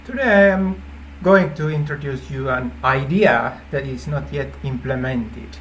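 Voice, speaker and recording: A native Finnish man speaking English with a Finnish accent, informally explaining an idea in halting phrases with repeated words. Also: medium pitch, a bit bright, slight background noise. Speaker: app developer presenting a feature idea.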